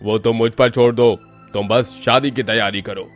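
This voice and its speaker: A man's voice: medium pitch, fairly even intonation, moderate pace, faint music behind, close to an everyday voice. A man voicing a scheming, bullying village headman in a horror cartoon, speaking in a bossy, threatening way. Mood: sly menace. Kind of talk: Hindi horror cartoon acting.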